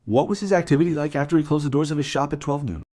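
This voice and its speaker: An actor playing a blunt, sardonic police colleague in a crime radio play, asking clipped questions. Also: male voice, a little high, racing, mellow, dry recording, natural voice. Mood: sardonic, probing. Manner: dry, sarcastic, clipped questions.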